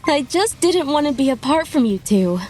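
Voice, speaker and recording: A woman voicing a wronged but resolute young wife and mother in a dramatized manga dub, firm and expressive. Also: high-pitched, normal speed, faint music behind. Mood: calm, resolved.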